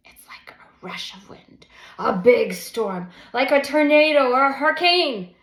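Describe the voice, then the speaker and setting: Woman playing storybook mum, gentle, patient, overwhelmed, animated intonation, fry-edged voice, echoey room. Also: high-pitched, measured pace, pitched above the performer's own voice, exaggerated voice. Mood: patient explaining. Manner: patient, tender, emphatic explaining. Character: gentle but overwhelmed mother explaining to young children. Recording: roomy, echoing sound.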